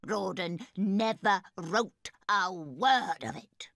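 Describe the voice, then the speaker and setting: A shrewd, bitter old lady drawls her words with slow scorn, as a man voices her. Her tone is bright and cutting, pitched far above a man's usual voice. The recording is close and dry. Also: gravelly, dry recording, exaggerated voice. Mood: scornful.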